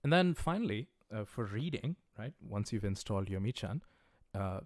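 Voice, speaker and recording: A man with a lowish voice teaches a livestreamed class in an easygoing, chatty way, often pausing on "uh" and "um". He sounds encouraging as he speaks straight to his viewers. The sound is close and dry, with no room echo. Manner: relaxed, conversational, many "uh" and "um" fillers; encouraging, recommends resources directly to viewers. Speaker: language teacher. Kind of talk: casual livestreamed lesson.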